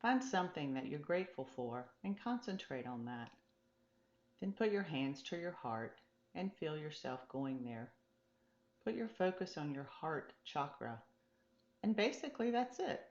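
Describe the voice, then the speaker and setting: A woman voicing a calm, patient, encouraging meditation teacher in a storybook, gently reassuring as the teaching goes on. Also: sits low, measured pace, echoey room, lo-fi sound. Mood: reassuring, instructive. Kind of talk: storybook character dialogue.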